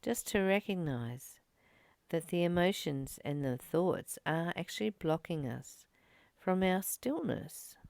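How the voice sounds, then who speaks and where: A woman reading a spiritual text aloud and reflecting on it in a slow, gentle, contemplative way. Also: fairly low pitch, animated, vocal fry, no room sound. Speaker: spiritual study reader and teacher.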